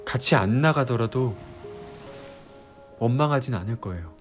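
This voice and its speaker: A man's voice: mid-range voice, lightly acted. Man acting an earnest, disillusioned young fiancé over music. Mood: earnest, sorrowful. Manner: heartfelt, pleading monologue with deliberate pauses. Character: earnest, disillusioned young male fiance, resolved to leave utopia. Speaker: audio drama creator and voice actor. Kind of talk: Korean ASMR audio drama roleplay. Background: background music.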